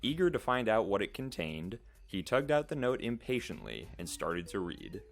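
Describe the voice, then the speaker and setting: Deep, animated male storybook narrator. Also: moderate pace, gravelly, faint music behind.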